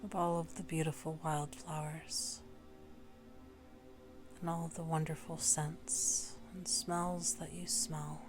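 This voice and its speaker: Low voiced lady doing guided meditation, really slow n gentle, lots of pauses, faint music under it. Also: flat delivery. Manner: gentle, unhurried, phrases broken by long pauses.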